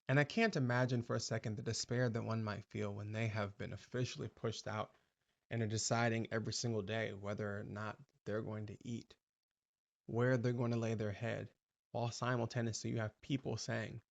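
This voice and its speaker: A male host giving commentary in a measured, deliberate, persuasive way. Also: on the low side, gravelly, muffled recording.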